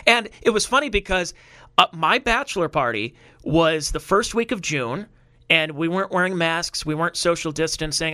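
A man in his thirties, a comedian chatting casually on a podcast, animated and sarcastic, laughing often. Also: on the high side, smooth, no room sound, studio quality.

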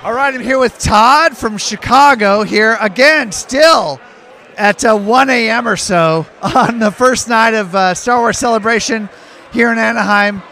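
A man's voice: high-pitched, unhurried, gravelly, bright, forceful tone. A man hosting a podcast, interviewing fans in a hotel bar late at night, enthusiastic and prone to stacking several questions at once. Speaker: podcast host. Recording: noisy crowded bar.

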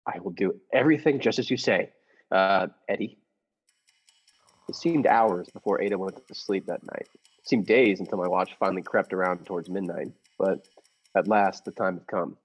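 A man's voice: low-pitched, normal speed, slightly rough. A man playing a meek, nervous henpecked husband who narrates his scheme in a radio play, hesitant, earnest and formal. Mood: tense recounting. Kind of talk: improvised-cast classic radio play narration.

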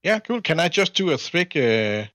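A man from Denmark with a Danish accent, chatting casually as a podcast guest in a halting way, repeating words. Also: fairly high pitch, very animated, fairly bright tone, dry recording, studio quality. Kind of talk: casual chat.

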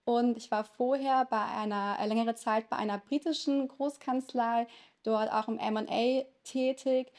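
A woman in her thirties who grew up in Lower Saxony speaking Italian first, answering interview questions as a lawyer in a chatty way with frequent hesitation fillers. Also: fairly even intonation, unhurried, a little mellow. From Lower Saxony, Germany. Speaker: lawyer, podcast guest.

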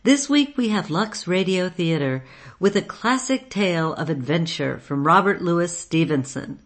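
A female radio host with a slightly low voice. She reads a scripted introduction with polished, presenter-style delivery. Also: animated, measured pace.

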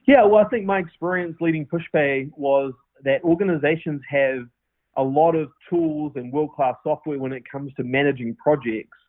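A man's voice: on the high side, moderate pace. New Zealand man on a tinny line. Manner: earnest, many restarts and fillers. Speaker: podcast guest, company founder. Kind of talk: casual interview answers. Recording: tinny phone-line audio.

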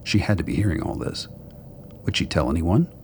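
A man with a gravelly voice reading scripted dramatic story narration. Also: low-pitched, animated, fairly quick, a little mellow, a little hiss or hum.

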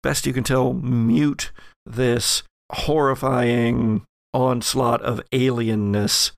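A man acting as game master, narrating an improvised horror tabletop game in a halting, suspense-building way. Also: mid-range voice, steady tone, gravelly, dry recording, studio quality. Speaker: game master of an actual-play podcast.

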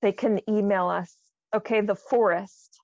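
Creaky woman, slightly dull sound. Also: medium pitch, steady tone, measured pace, darkish tone, dry recording. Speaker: podcast co-host, quiz reader. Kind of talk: casual banter, reading out quiz items.

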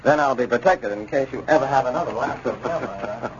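Fussy American husband played by an actor, muffled sound. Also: male voice, mid-range voice, fast, natural voice. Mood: lecturing. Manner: insistent, lecturing. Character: fussy, exasperated, bossy husband. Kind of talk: 1930s radio advertisement acting. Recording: muffled, hissy 1930s radio recording.

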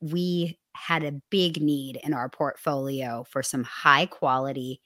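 A woman co-hosting a business podcast, talking casually and at length in an upbeat, loud way, a little hoarse. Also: rumbling low, fairly even intonation, takes it slowly, dry recording, clean studio sound. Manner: loud, upbeat, talks through ideas at length. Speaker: podcast co-host.

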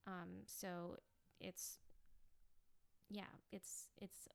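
A young woman from the Chicago suburbs, a guest in a podcast interview, speaking earnestly and reflectively with pauses. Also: on the low side, little pitch movement, vocal fry. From Chicago suburbs, US Midwest. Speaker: campaign manager, guest.

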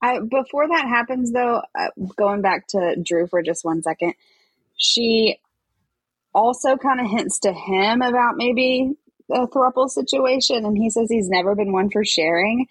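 Lady on a recap podcast, raspy mid voice, chatting casually, very dry sound. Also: on the high side, unhurried, no room sound, low-quality recording. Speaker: podcast co-host.

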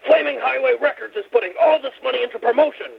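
An actor playing an irate, crude record-label boss who barks sarcastically down a phone line in a comedy radio play. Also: male voice, extremely high pitch, fast talker, creaky, heavily acted. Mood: blustering, sardonic. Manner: bullying, sarcastic barking. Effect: telephone filter. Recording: muffled telephone-style sound.

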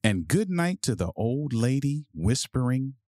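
A man hosting a podcast and reading a children's picture book aloud in a measured, deliberate bedtime-story delivery. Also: medium pitch, very animated, a little mellow, no room sound, clean studio sound.

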